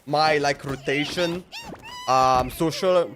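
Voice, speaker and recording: High-pitched man chatting on a livestream. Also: slow pace, fairly bright tone, slight background noise. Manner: informal, repeats himself. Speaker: live streamer. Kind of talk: casual game livestream chat and reactions.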